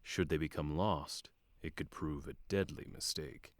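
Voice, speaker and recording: Deep male fantasy narrator. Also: fairly even intonation, measured pace, dry recording. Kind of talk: scripted fantasy audio drama narration.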